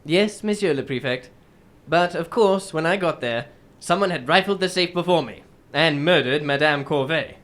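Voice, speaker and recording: A woman playing a shrewd, composed English gentleman detective in a radio drama, telling his case in formal, measured phrases. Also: sits low, lightly acted. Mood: candid, matter-of-fact.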